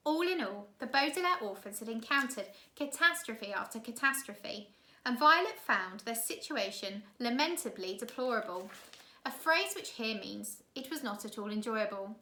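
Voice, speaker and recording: A woman reading a children's novel aloud in an expressive storytelling style with dramatic emphasis. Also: fairly high pitch, normal speed. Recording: roomy, echoey room sound.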